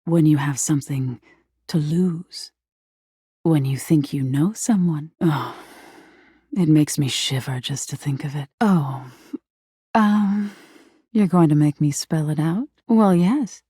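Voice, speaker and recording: Breathy, dark-toned nightmare spirit. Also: female voice, deep voice, takes it slowly, long pauses, dry recording, clean studio sound, heavily acted. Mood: seductive menace, then flustered, hesitant. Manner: breathy, teasing, often dropping into whispers. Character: female living nightmare spirit, flirty, menacing, secretly insecure. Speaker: voice actor in a dating simulator game. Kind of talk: intimate theatrical video game acting.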